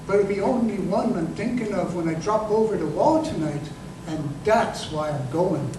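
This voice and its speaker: A man playing an embittered working-class ex-corporal in an old-time radio drama, speaking haltingly and emphatically. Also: sits high, natural voice. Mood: tender, brave. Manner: halting, repeats words, emphatic. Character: embittered crippled ex-corporal recalling his betrayal, earnest and working-class. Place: live stage performance in a museum. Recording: echoey live performance, distant mic, noisy room.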